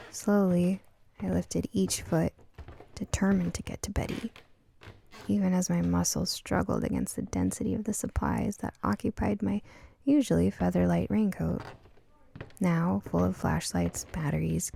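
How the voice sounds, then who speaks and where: A woman playing a curious, earnest young woman who narrates her own spooky radio drama in a measured, storytelling way. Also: mid-range voice, creaky, dark tone, a little hiss or hum. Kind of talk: first-person scripted radio drama narration.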